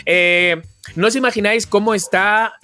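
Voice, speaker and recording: A man's voice: high-pitched, fairly even intonation, moderate pace, pushed and bright, faint music behind. A man with an accent from Spain chatting casually and effusively as a podcast co-host, speaking with emphasis. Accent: Spanish from Spain. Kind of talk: casual chat.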